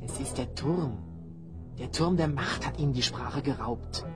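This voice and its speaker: An actor performs a frightened male captive in a fantasy audio drama. He speaks at a medium pitch, anxiously stumbling over words. He sounds weary and full of warning. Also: unhurried, over music, lightly acted. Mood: fearful, warning. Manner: anxious, stammering.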